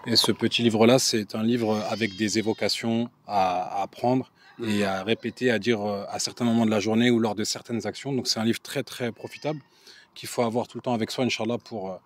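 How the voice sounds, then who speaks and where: A man interviewing passers-by on the street, friendly and warm in tone, often adding Arabic blessings. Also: fairly low pitch, steady tone, a little fast, a little hiss or hum. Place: outdoors on a street.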